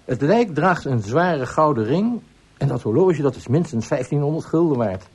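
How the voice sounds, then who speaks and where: A man's voice: fairly high pitch, lively intonation, moderate pace, darkish tone, slightly rough. A man playing a dutiful, matter-of-fact village police sergeant who reports deferentially, in a radio drama.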